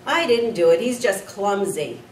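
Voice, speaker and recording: A woman's voice: mid-range voice, animated, a little slow, close to an everyday voice. A woman voicing a sullen, spiteful schoolboy bully who taunts and protests defiantly in a storybook reading. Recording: roomy, distant mic with faint noise.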